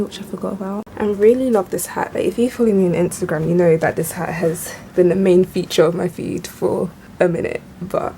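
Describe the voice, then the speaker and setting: Relaxed young woman vlogging. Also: mid-range voice, slight background noise, poor audio. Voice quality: slightly croaky in the morning. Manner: relaxed, chatty, thinks aloud. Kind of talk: casual vlog chat to camera. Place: bedroom at home.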